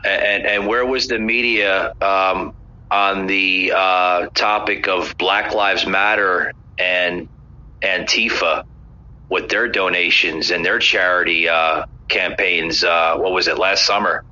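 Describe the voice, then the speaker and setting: Man, low voice, forceful sharp tone, halting with many uhs, lo-fi recording. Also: steady tone. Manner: hesitant, repeats words, many "uh"s. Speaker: podcast host. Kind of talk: casual political chat. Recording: muffled lo-fi.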